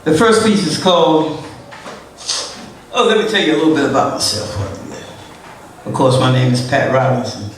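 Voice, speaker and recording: Loud, emotional woman poet in an echoey room. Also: low-pitched, normal speed, pauses often, a little hiss or hum. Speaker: spoken-word poet. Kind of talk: spoken-word poetry performance with talk between pieces. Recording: echoey room, distant mic.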